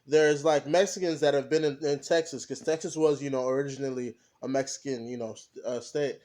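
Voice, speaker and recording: A young man co-hosting a podcast, talking casually and haltingly, often stopping to search for his words. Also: mid-range voice, steady tone, darkish tone. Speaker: podcast co-host. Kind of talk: casual discussion.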